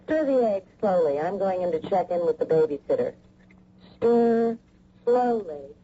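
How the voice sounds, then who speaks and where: A woman's voice: on the low side, lively intonation, measured pace. An actress playing an anxious, intuitive American wife and mother in a radio drama, worried and hesitant. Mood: insistent. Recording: dull old radio recording.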